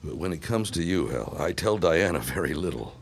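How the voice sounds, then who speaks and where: A man's voice: sits low, animated, moderate pace. A man acting an evasive, scheming philandering businessman of the 1910s in an audio drama, with a theatrical, defensive, exasperated manner.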